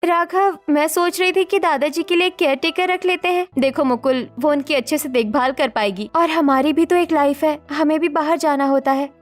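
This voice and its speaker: A woman voicing a freedom-loving, scheming young wife in cartoon story dialogue, in a chatty, expressive way. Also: high-pitched, moderate pace, hardly any pauses, lightly acted. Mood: persuasive.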